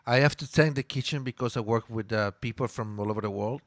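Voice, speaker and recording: A man with an Italian accent, a native Italian speaker, talking in a casual interview. He tells lively stories, repeats words and stops to search for English words. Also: mid-range voice, quick pace, no room sound, clean studio sound. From Italy. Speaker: guest.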